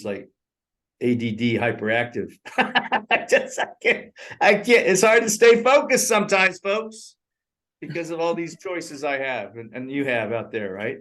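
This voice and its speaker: A man's voice: on the high side, normal speed. Rambling older man on a remote call. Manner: rambling, animated, laughs often. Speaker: co-host. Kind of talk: casual movie review chat. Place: in Thailand.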